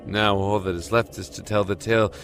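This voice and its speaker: A man plays a young prisoner haunted by madness in an audio drama. His low voice tells the tale with solemn, deliberate intensity over background music. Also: steady tone, close to an everyday voice. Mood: ominous warning. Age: young adult. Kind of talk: dramatic first-person audio drama monologue.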